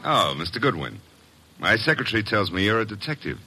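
A former racketeer putting on genteel airs in an old radio drama. His deep voice has a bright, hard edge, and he talks with guarded, stiff politeness. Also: big pitch swings, a little fast, faint music behind, full character voice. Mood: guarded, polite. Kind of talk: old-time radio detective drama acting.

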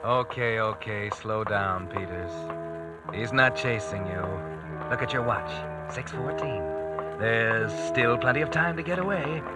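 A man's voice: medium pitch, measured pace, lightly acted. Guy acting tense inner-voice narrator, old time radio drama, clipped orders, music behind him. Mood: controlled urgency. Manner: terse, clipped commands in short phrases. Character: tense inner narrator voice urging a nervous man on. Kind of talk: 1940s radio drama narration, second person.

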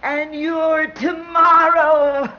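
High, distraught drunken woman. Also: little pitch movement, very slow. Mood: defiant, hysterical. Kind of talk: old-time radio drama acting. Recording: dull old radio recording, crowd behind.

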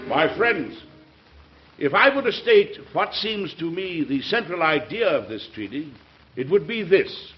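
Idealistic old radio president giving a speech, highish voice, muffled recording. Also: male voice, very animated, measured pace, over music. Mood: earnest, rousing. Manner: oratorical, earnest. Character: idealistic president orating to a public crowd.

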